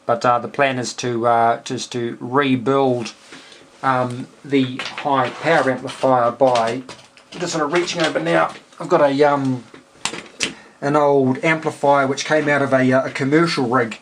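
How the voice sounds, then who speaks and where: A New Zealand man speaks as a ham radio hobbyist in a medium-pitched voice. He explains technical details casually, with a hesitant, stop-and-start delivery. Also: fairly even intonation, unhurried, a little hiss or hum. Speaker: amateur radio operator.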